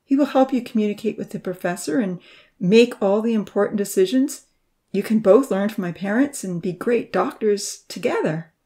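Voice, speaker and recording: A woman voicing a troubled, scarred young man in a story, speaking haltingly with a wavering voice. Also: medium pitch, mellow, lightly acted. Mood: bitter, tearful. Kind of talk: storybook character reading.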